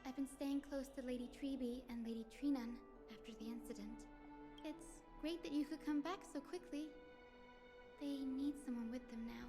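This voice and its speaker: High-voiced, gentle young female healer. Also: normal speed. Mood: subdued, sad. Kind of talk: dramatic video game acting. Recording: loud game music underneath.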